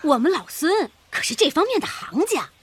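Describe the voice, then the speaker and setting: Casting: persuasive female boss, radio drama, high bright-spirited pitch, very animated, breezy and insistent. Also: normal speed. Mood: smooth, persuasive. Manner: breezy, pushy, gushingly hospitable. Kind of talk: Chinese radio drama acting.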